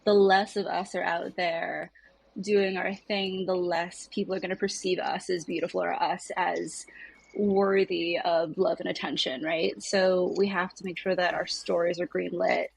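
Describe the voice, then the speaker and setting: Female podcast guest, earnest and conversational, raspy lower voice with plenty of fry. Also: little pitch movement, a little slow, poor audio. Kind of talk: casual podcast discussion.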